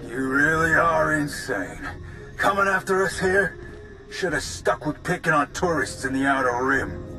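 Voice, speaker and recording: Brash, defensive pirate gang boss, high-pitched, sneering and indignant, for a video game. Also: male voice, expressive, measured pace, pushed and bright, faint music behind, heavily acted. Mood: sneering, menacing.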